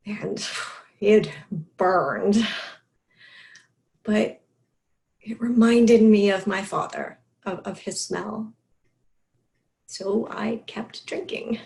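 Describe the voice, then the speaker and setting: An actress playing a grieving woman in recovery from alcoholism, delivering a confessional stage monologue in a halting, emotional way. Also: mid-range voice, vocal fry, darkish tone. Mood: subdued, confessional. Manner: halting, emotional delivery with pauses and repeated words. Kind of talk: dramatic stage monologue, first-person confession.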